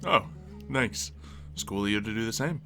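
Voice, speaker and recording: A man's voice: lowish voice, expressive, normal speed, sharp tone, faint music behind. A man playing an easygoing, wry survivor loyal to his settlement, talking casually in an improvised game roleplay. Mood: earnest, casual.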